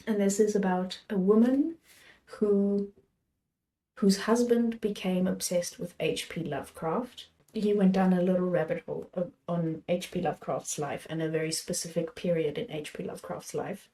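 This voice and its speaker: A young woman hosting her book channel, chatting about what she plans to read next in a rambling, self-correcting way, her voice a little hoarse from being sick. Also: fairly low pitch, measured pace, mellow, poor audio. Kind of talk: casual chat about books.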